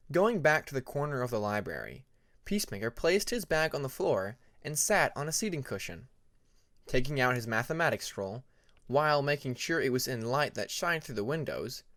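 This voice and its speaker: A man's voice: mid-range voice, fairly bright tone, dry recording, studio quality. A man reading a scripted story as an audiobook narrator.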